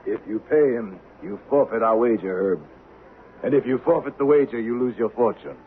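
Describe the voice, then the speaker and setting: An actor playing a cool, sardonic, menacing avenger in a 1970s western radio drama, controlled and American-accented. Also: male voice, medium pitch, moderate pace, close to an everyday voice. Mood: taunting. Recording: muffled, hissy vintage radio recording.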